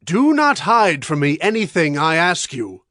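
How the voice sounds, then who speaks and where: A man's voice: fairly high pitch, sing-song, a little slow, sharp tone, no echo, clean studio sound, exaggerated voice. An actor playing a stern, authoritative king in a dramatized Bible recording. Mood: probing, suspicious. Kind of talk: dramatized Bible audio acting.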